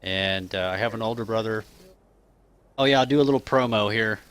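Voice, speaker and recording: Man, 30s, from Kansas. Low-ish, bright tone, relaxed stream host. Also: clear, resonant tone. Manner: easygoing, drawn-out fillers and quick chuckles. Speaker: streamer asking Q&A questions. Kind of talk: casual live-stream chat.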